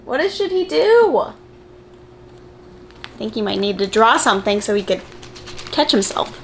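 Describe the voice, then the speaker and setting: A woman reading a picture book aloud to young children, expressive and encouraging, putting simple questions to them like a preschool teacher. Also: fairly high pitch, measured pace, a little hiss or hum.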